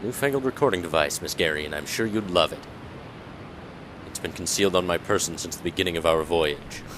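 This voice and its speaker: A man voicing a calm, composed private investigator in an amateur radio drama, speaking in a measured way. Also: low-pitched, natural voice. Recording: noisy amateur recording.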